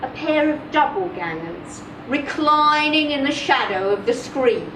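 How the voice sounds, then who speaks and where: A woman giving an expressive, deliberate dramatic reading of a first-person short story, with measured pauses. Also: high-pitched. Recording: roomy, distant mic, clear background noise.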